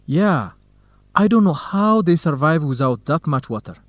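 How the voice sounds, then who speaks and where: A man's voice: fairly high pitch, animated, measured pace, no room sound. A man playing a cautious, good-natured young graduate student in an educational radio drama. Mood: relaxed, friendly.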